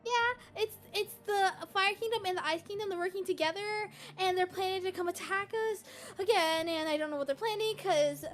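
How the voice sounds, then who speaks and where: A woman voicing an excitable, anxious young girl, a devoted little sister, in an amateur Minecraft roleplay, flustered and stammering. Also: extremely high pitch, moderate pace, few pauses, soft background music. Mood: urgent, panicked. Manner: flustered, stammering, repeats words.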